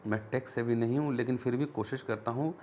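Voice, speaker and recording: A man giving an earnest, deliberate explanatory talk as a teacher and channel host, mixing in English terms. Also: mid-range voice, steady tone, a little mellow, slight background noise. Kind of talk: explanatory political history talk to viewers.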